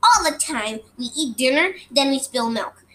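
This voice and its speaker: A woman voicing an excitable little boy who squeals and cries out in a storybook read-aloud. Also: normal speed. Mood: chatty. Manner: high squealing, cries and shouts.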